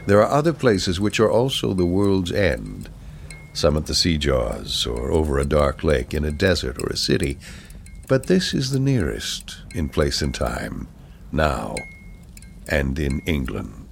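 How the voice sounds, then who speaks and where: Male poetry reader, extremely low and gravelly, solemn and measured, slow delivery. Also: very animated, a little hiss or hum. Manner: measured, deliberate phrasing with weighty pauses.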